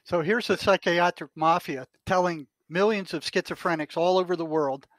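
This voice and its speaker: High-pitched older man, blunt and emphatic. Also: normal speed, a bit bright, no echo, rough recording. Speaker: retired psychotherapist, podcast guest. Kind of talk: opinionated interview talk.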